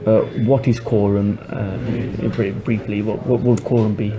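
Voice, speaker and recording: A man interviewing a guest, talking loudly by his own account and stumbling through questions with many fillers. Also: on the low side, flat delivery, normal speed. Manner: hesitant, many fillers, self-described loud voice. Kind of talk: casual interview conversation. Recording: crowd chatter behind.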